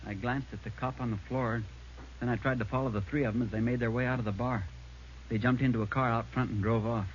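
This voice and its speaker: A man's voice: medium pitch, steady tone, fast talker, lightly acted. Plainspoken male eyewitness played by an actor, earnest conversational account, muffled vintage radio police drama. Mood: awed, earnest. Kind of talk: 1950s radio drama, conversational witness narration. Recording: dull, noisy old radio recording.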